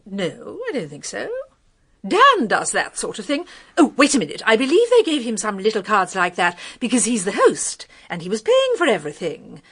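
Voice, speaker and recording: A woman's voice: medium pitch, wildly swooping pitch, normal speed, a bit bright, slightly rough, full character voice. An actress playing a chatty, gossipy married woman in a radio mystery, airy, rambling and breezily opinionated. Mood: breezy, helpful. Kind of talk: British radio mystery drama.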